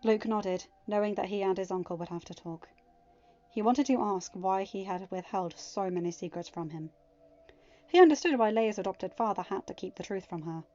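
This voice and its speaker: A woman narrating a fan story in a steady reading voice, then chatting casually to listeners. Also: medium pitch, normal speed, dull audio, soft background music. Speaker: fanfiction audiobook reader.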